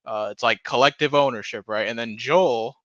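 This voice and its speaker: A man's voice: mid-range voice, normal speed, fairly bright tone, no room sound, lo-fi sound. A man giving a casual, sweary recap rant as a video commentator, often mocking what he retells. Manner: sweary, laughs through his words; mockingly acts out quoted dialogue. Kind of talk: casual ranting TV episode recap.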